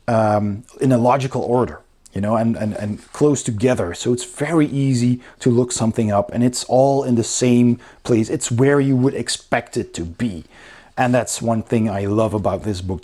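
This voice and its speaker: A man's voice: mid-range voice, a little mellow. A man giving a casual spoken review, explaining things informally as he walks through a rulebook. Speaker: board game reviewer.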